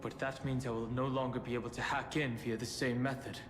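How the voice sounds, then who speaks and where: A man's voice: medium pitch, level tone, fairly quick, close to an everyday voice. A man playing a grave, stoic young hero in a video game, brooding and restrained, echoing over ambient noise. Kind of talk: dramatic game voice acting.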